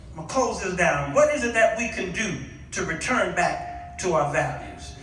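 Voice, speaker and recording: An American man preaching in an animated, folksy storytelling style to a congregation. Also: high voice, normal speed, slight background noise. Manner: animated, folksy, acting out exchanges; rhetorical questions to the congregation. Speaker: guest preacher. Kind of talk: storytelling sermon. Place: church, before a congregation. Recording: echoey hall, picked up from far off.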